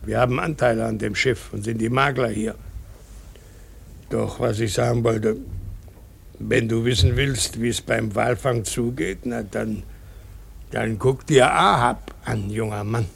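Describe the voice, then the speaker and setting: Gruff blustering sea captain, gravelly voice. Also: male voice, medium pitch, a little slow, full character voice. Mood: gruff, instructing. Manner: gruff, bullying, cursing, mocking. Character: blustering old whaling captain and ship's agent, gruff, mocking. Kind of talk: German radio play acting.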